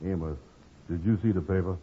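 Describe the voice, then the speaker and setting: Dim lovelorn fellow, deep voice, muddled speech, old radio comedy, narrow phone-like sound. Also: male voice, a little fast, breathy edge. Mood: troubled, mournful. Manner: dim, hesitant, malapropisms. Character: slow-witted, lovelorn, anxious man, comically muddled talker. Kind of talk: 1950s radio comedy acting.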